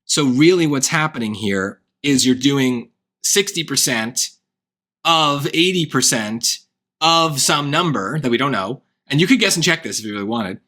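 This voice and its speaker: A man walks through a math problem like a relaxed tutor, checking in with "right?" as he goes. His tone is bright and forward. The voice sounds worn and hoarse. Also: medium pitch, normal speed. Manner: thinking aloud, wry asides, frequent "right?". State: voice getting hoarse. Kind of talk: casual worked-example lesson.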